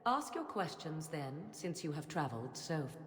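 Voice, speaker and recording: A woman's voice: deep voice, sing-song, moderate pace, roomy sound, big-room sound. An actress playing a proud, guarded witch in a fantasy video game, with formal archaic phrasing and a theatrical, cutting delivery. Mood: defiant, challenging. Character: proud, guarded witch, sharp-tongued and ominous.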